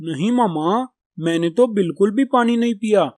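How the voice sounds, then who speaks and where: A man's voice: sits high, moderate pace, a little mellow, no echo, studio quality, close to an everyday voice. A man voicing a stubborn, greedy young male crow in a children's cartoon, with whiny, headstrong childlike talk. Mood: denying. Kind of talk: Hindi children's cartoon dubbing.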